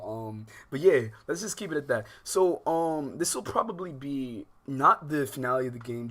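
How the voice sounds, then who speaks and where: A man's voice: mid-range voice, fairly bright tone. Rambling American guy chatting on a livestream. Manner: slangy, profane, rambling with frequent asides. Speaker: livestream host. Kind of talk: casual livestream chat to viewers.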